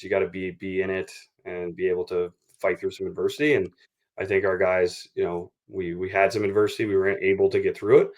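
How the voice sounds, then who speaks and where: A man with a low voice that stays fairly flat. He speaks like a coach in a sports interview, measured and explanatory, dropping in "you know" as he works through his points. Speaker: baseball coach being interviewed.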